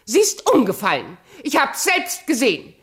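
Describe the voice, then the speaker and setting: A woman's voice: high voice, huge pitch swings, moderate pace, a bit bright, husky, heavily acted. An actress playing a vain, scheming and imperious evil queen in a theatrical fairy-tale radio play. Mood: shrill fury. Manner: wheedling, imperious, dramatic.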